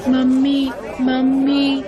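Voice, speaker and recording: A woman's voice: high-pitched, monotone, extremely slow, crowd noise, voice pushed up. A woman voicing a frightened, crying little girl in an audio story, whimpering for comfort. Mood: tearful, frightened. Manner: whimpering, pleading.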